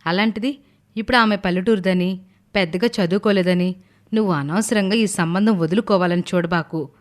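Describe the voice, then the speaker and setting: A woman's voice: fairly low pitch, brisk, lightly acted. Woman acting an insistent young daughter. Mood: persuasive. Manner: persuasive, insistent. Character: young village daughter, practical, insistent adviser. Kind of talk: moral story cartoon voice acting.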